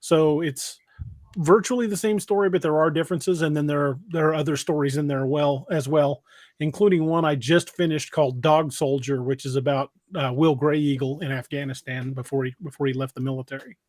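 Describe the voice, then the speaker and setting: A man hosts a casual livestream. His voice sits a little high and very dry, and he talks in a plain-spoken, rambling way. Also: steady tone, brisk, a little mellow, dry recording. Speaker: podcast host and author.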